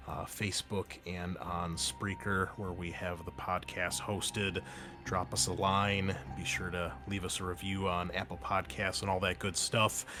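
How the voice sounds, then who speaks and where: A man with a fairly low voice hosts a tabletop game session. He narrates casually, pausing and restarting as he explains things. Faint music sits behind him. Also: fairly bright tone. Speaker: game master and podcast host.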